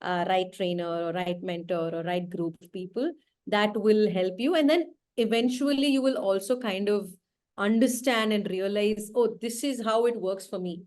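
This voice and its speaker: A woman's voice: medium pitch, normal speed, darkish tone. A woman casting director from India, giving earnest, advising answers in a conversational interview. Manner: earnest, advising, thinks aloud. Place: indoor room.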